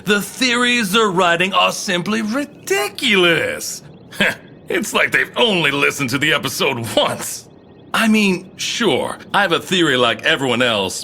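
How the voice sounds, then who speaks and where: A man's voice: on the high side, sharp tone, exaggerated voice. An actor playing an obsessive male fan in an audio drama, chatting casually in a dismissive, argumentative, geeky way. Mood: smug, amused. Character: opinionated, obsessive male superfan of a podcast.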